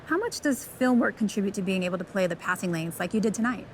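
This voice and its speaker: Woman playing a fast-talking sports reporter, noisy background. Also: mid-range voice, animated, lightly acted. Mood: inquiring. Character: brisk, attentive female sports reporter. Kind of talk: post-game interview questions in a game.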